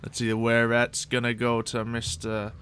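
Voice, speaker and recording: A man's voice: medium pitch, monotone, moderate pace, a bit bright, clean tone. A man running a tabletop role-playing game, casually explaining rules and characters to the players. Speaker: game master.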